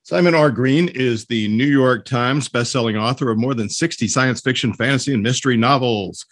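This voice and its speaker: A man's voice: medium pitch, moderate pace. A man working as a podcast host, reading announcements and hosting an author interview.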